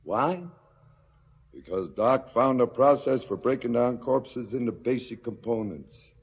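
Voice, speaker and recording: A man's voice: mid-range voice, measured pace, slightly rough. An actor playing a calculating corrupt policeman in a stylised radio drama, declamatory and coolly menacing, with clipped retorts. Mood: coolly accusing. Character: smug, calculating corrupt policeman muscling in on racket.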